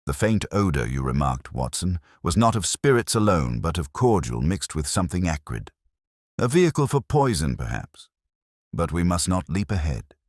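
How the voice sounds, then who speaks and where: A man voicing a brilliant, commanding male detective who reasons in formal, deliberate, incisive phrases in an audiobook mystery. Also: low voice, very animated, no echo, clean studio sound. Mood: analytical, assured.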